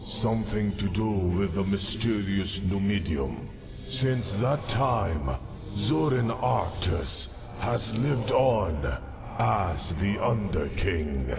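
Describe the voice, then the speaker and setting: An actor playing a cold, scheming man of mystery in a video game, speaking in a measured, formal and deliberate way. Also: lowish voice, lively intonation, gravelly, noisy background, heavily acted. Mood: grave, confiding. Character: cold, scheming male mystery figure granting dark errands. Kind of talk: scripted video game dialogue. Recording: game music behind the voice. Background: music.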